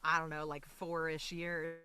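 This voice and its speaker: Bright-voiced chatty woman podcast guest. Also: low voice, little pitch movement, measured pace, clean tone. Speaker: interview guest, voice actress. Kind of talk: casual chatty podcast interview.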